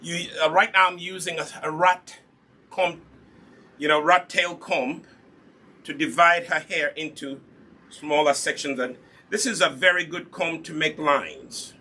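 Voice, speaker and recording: Bright, husky Ugandan man demonstrating live. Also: fairly high pitch, steady tone, a little slow. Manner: instructive, repeats key points. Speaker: host, natural hair product seller and demonstrator. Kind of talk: live demonstration, casual chat with viewers.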